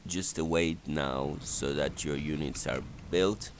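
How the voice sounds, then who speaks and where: A man's voice: very deep voice, takes it slowly, a little hiss or hum. A man giving an unscripted strategy game walkthrough in a hesitant, step-by-step instructional manner. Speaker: gameplay guide narrator.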